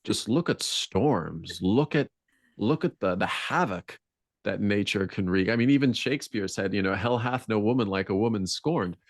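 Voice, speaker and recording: A man with a deep voice speaking as a podcast guest in a reflective conversation, searching for words and building intensity on key points. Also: expressive, normal speed, no room sound, clean studio sound.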